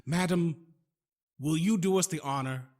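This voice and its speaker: A man playing a smooth-talking, nervously polite machinery salesman in an audio drama, flattering and a little hesitant. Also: on the high side, measured pace, lightly acted. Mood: eager pitch.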